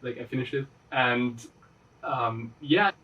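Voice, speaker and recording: A man's voice: mid-range voice, measured pace, highs cut off. Man, twenties, interview guest, loose rambling talk, mic a bit far. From Austria. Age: 20s. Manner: relaxed, rambling, lots of fillers and restarts. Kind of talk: casual interview chat.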